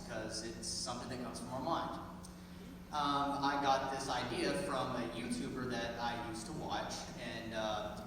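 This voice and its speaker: Echoey, distant teenage boy on stage. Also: mid-range voice. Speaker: high school theater student. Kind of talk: student monologue on stage. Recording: echoey hall, distant mic, clear background noise.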